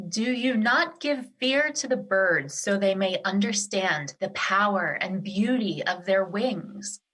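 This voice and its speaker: A woman playing a wise, nurturing earth spirit in an audio drama, speaking in a formal, measured, reassuring way. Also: medium pitch, animated, a bit bright, poor audio. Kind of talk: theatrical audio drama acting.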